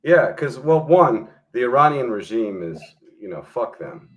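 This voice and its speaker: A man's voice: mid-range voice, big pitch swings, unhurried, a bit bright, low-quality recording. A man talking as a guest on a talk show, arguing bluntly and emphatically, swearing freely. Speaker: guest historian and filmmaker. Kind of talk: casual opinionated discussion.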